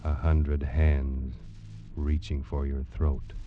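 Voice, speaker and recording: A man's voice: very deep voice, little pitch movement, takes it slowly. A man narrating an old 1940s radio teaser in an ominous, menacing, dramatic style, addressing the listener directly. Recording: muffled vintage radio with loud music. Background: loud dramatic orchestral music with timpani.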